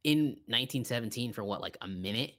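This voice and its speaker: A man co-hosting a podcast, chatting casually about films in an excitable, slangy way. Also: medium pitch, brisk. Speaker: podcast co-host. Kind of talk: casual film chat.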